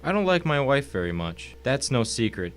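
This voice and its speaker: A man's voice: mid-range voice, very animated, normal speed, a little hiss or hum, close to an everyday voice. A man playing a smug, wealthy, cultured villain in an audio drama, speaking in a pompous, formal, mocking way. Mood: smug contempt. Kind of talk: dramatic audio story acting.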